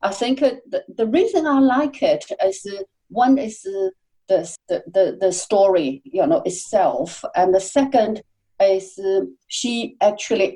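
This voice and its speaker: Mellow-voiced woman, halting guest. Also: medium pitch, poor audio. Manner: halting, many fillers and restarts. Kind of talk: conversational interview answers.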